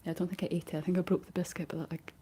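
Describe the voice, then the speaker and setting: A Scottish woman whispering a rambling, chatty ASMR talk in a breathy voice, laughing often as she goes. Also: fairly low pitch, little pitch movement, racing, dark tone, slight background noise. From Scotland. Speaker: ASMR creator.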